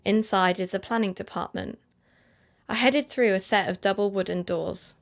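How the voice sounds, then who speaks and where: A woman's voice: mid-range voice, normal speed, gravelly, close to an everyday voice. A woman playing an earnest sleuth podcaster. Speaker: investigative podcaster. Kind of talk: audio drama narration and dialogue.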